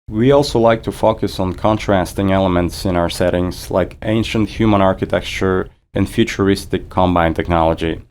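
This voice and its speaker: A man's voice: low voice, a little mellow. A man reading scripted technical commentary as a game developer.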